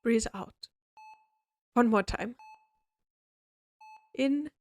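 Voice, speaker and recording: A woman voicing a refined, friendly vampire doctor in a scripted roleplay, explaining things to the listener in a formal, measured, courteous bedside manner. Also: on the high side, darkish tone. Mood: calm, soothing. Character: refined, oddly friendly vampire doctor, centuries-old aristocratic healer. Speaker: doctor character in a roleplay audio. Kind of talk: scripted comfort roleplay, spoken directly to listener.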